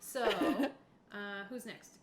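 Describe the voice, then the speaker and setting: A woman's voice: mid-range voice, extremely slow, crowd noise. A woman running a tabletop game as game master, narrating casually and encouragingly in a chatty, giggly way. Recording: echoey room, faint background noise.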